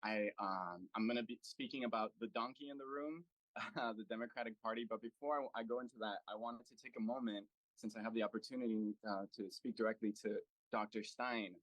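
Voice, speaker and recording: A man with a fairly low voice speaks earnestly at an online meeting, stopping for fillers and restarting his sentences. He sounds close and dry, as if talking into a home computer on a video call. He speaks as the president of a local party chapter. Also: fairly even intonation, brisk, fairly bright tone, no echo, rough recording. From United States. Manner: earnest, thinking aloud with many fillers and restarts.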